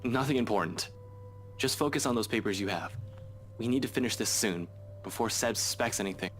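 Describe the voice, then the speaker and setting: Actor as a very low-voiced, guarded young investigator. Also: male voice, brisk, a bit bright, a little hiss or hum, lightly acted. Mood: defensive. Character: guarded, dry young male police investigator. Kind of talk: visual novel voice acting.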